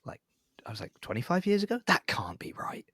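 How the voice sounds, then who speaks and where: A man's voice: a little high, very animated, a little fast, fairly bright tone, breathy edge, dry recording, whispered, clean studio sound. A middle-aged man hosting a podcast in a casual, chatty interview style. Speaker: podcast host.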